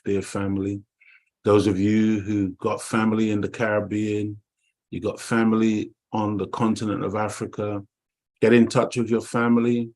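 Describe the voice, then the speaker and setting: A man's voice: lowish voice, a little mellow. A middle-aged man from Jamaica, co-hosting an online talk show and preaching with emphatic repetition. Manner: preaching, emphatic, repeats words, addresses "dear family". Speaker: co-host. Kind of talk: impassioned talk-show monologue. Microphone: online call.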